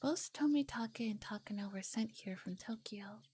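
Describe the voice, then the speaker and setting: A woman with a soft, breathy, often whispery voice reads a visual novel ASMR-style on stream with relaxed, playful asides, close to the mic. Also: medium pitch, normal speed. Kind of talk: ASMR reading with casual commentary. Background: music.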